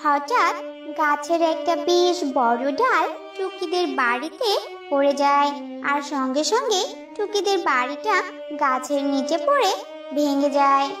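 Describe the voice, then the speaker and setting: A storyteller narrates a children's cartoon fable in a very high, childlike pitch. The delivery is scripted storytelling. The sound is echoey and somewhat distant, with clear music underneath. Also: animated, a little slow.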